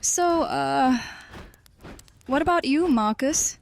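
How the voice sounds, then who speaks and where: A woman playing a commanding vampire mistress who is secretly shy and fond, halting between orders, in a fantasy audio drama. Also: high voice, animated, gravelly, a little hiss or hum, heavily acted. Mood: coy.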